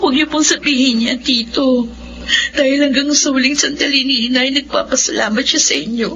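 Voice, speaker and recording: A woman's voice: high-pitched, fairly even intonation, brisk, a bit bright. A woman playing an effusive, girlish young cousin, openly emotional and grateful, in a Filipino radio drama. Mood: grieving, tearful. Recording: muffled audio with faint background noise.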